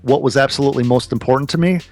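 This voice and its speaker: A man's voice: mid-range voice, rapid-fire, a little mellow, faint music behind. A man in his thirties, a podcast guest, talking earnestly and casually about business, swearing now and then. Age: 30s.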